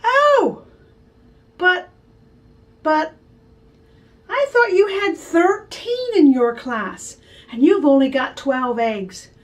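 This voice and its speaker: A woman voicing a teasing, know-it-all big brother in a high, needling voice. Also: lively intonation, extremely slow, long pauses, heavily acted. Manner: squeaky, needling. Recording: distant from the mic.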